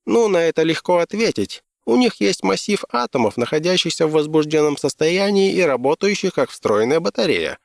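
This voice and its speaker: A man voicing an excitable, brainy engineer, animated and full of jargon, in an audiobook. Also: on the high side, moderate pace, gravelly, few pauses, no echo, studio quality, voice pushed up. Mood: eager explaining. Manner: animated, technical, enthusing. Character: excitable male tech engineer, eager, brainy, jargon-loving. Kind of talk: character voice in audiobook.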